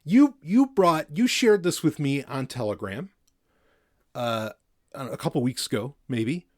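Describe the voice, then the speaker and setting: A man's voice: mid-range voice, animated, a little slow. A man hosting a podcast from a home setup, talking casually into a mic on an arm, rambling with lots of fillers and restarts. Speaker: podcast host. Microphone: studio mic on an arm.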